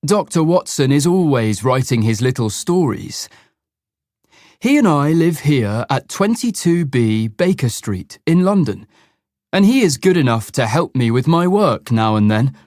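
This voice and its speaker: A man reading the part of a proud, clever detective slowly and carefully for learners. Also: medium pitch, sing-song, a little mellow, no echo, clean studio sound. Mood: self-assured. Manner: slow, measured, self-assured.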